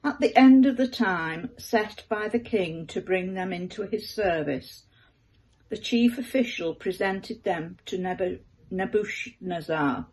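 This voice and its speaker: An older woman, around her seventies, reading aloud from the Bible in a measured manner at home. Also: medium pitch, animated, a little mellow, low-quality recording. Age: older, about 70s. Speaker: scripture reader. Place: living room at home.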